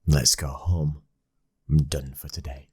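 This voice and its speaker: A British man acting a commanding mafia boss's son, a stern but loving husband, in an intimate ASMR roleplay with a husky voice. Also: very deep voice, little pitch movement, a little slow, darkish tone, heavily acted. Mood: weary.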